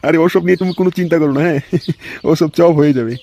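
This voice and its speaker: Man as a creaky, fast-talking cartoon lecher. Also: fairly high pitch, warm tone, natural voice. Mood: eager, lustful. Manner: oily, coaxing. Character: lecherous, wheedling middle-aged married father. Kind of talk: comedy cartoon voice acting. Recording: clear background noise.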